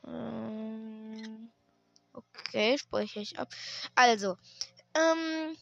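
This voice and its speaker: A hoarse woman hosting a gaming channel, chatting casually and hesitantly while she plays, with lots of fillers and restarts. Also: on the high side, extremely slow, no room sound, highs cut off, soft background music. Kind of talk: casual gameplay commentary. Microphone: desk microphone.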